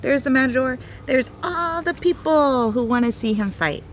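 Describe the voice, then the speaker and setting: A woman with a very lively, swooping delivery reads a children's picture book aloud as a storytime host. She is expressive and breaks off into simple asides as if showing things to little listeners. Some background noise sits behind her voice. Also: high voice, normal speed. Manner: expressive, simple explanations and exclamations, as to young children.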